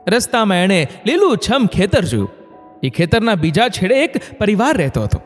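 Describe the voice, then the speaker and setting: A man narrating a scripted moral story in an expressive, dramatic way. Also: high voice, normal speed. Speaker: narrator of motivational stories. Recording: long hall reverb, music bed. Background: background music.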